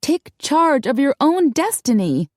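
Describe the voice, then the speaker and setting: A headstrong young heroine of a novel, played by a woman narrator, speaks with insistence. Her voice is lifted and animated, pressing every point firmly. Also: on the high side, unhurried, no echo, clean studio sound, pitched above the performer's own voice. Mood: urgent, insistent. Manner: emphatic, insistent. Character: defiant, headstrong young woman urging others on.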